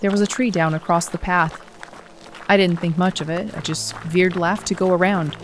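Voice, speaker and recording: A woman's voice: on the low side, fairly even intonation, normal speed, background noise, close to an everyday voice. A woman playing a young, determined but anxious runner in a horror audio drama, earnest and suspenseful as she acts and narrates. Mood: tense narration. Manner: earnest, suspenseful first-person storytelling.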